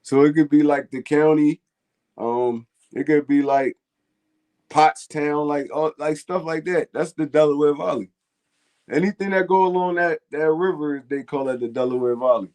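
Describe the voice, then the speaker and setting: A man's voice: a little high, normal speed, darkish tone. A man, co-hosting a fan call-in show in casual, slangy chat and laughing often. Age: 20s.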